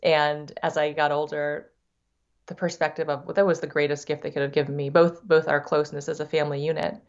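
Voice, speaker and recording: A woman's voice: sits low, flat delivery, brisk, highs cut off. A middle-aged woman, Thai as her first language and raised in the United States, speaking reflectively as a podcast guest, often restarting her sentences. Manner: reflective, many restarts and repeated words. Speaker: guest, investor. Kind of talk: podcast interview.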